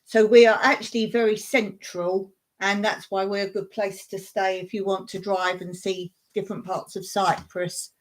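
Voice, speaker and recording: A woman's voice: medium pitch, little pitch movement, moderate pace, hardly any pauses, low-quality recording. A woman hosting a casual live stream, talking to viewers in a rambling, chatty way and laughing often.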